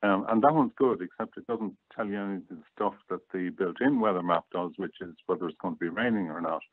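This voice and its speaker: Very deep male guest, phone-like sound. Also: quick pace, husky, dry recording. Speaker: guest app reviewer. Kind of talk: casual explanatory chat. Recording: tinny phone-like line, very dry.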